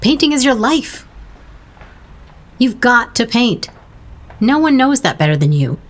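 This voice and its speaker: Wry, animated, nudging friend. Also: female voice, fairly high pitch, measured pace. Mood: prodding. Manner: dryly sarcastic, coaxing. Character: painter's blunt, wry, nudging woman friend. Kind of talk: audio drama acting.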